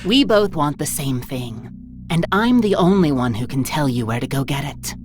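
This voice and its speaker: A mocking female information broker from a visual novel. Her voice is very low and swoops expressively, with imperious, theatrical condescension. Music plays prominently behind her. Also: animated, normal speed, a little mellow. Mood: commanding, threatening. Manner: condescending, theatrical, drawling endearments.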